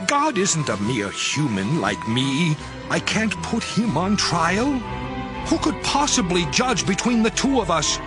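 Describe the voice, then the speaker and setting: A suffering, despairing man crying out to God. His voice sits high and swings widely as he slowly laments, impassioned and bitter, over clearly audible music in an audio scripture drama. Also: sing-song.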